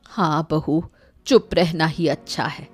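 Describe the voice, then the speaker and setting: A woman as a low-voiced, proud old village mother. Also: steady tone, slow, slightly rough, faint music behind, full character voice. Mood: aggrieved. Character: hardworking elderly village mother-in-law, proud, plain-spoken. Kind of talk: animated moral story acting.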